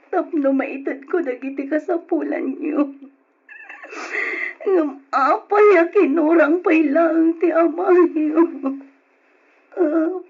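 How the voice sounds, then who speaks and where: An actress playing an anguished, betrayed wife and mother who works abroad, in an Ilocano radio drama with an emotional delivery and an Ilocano accent. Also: high-pitched. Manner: emotional, tearful, drawn-out delivery. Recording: dull, muffled old radio drama recording.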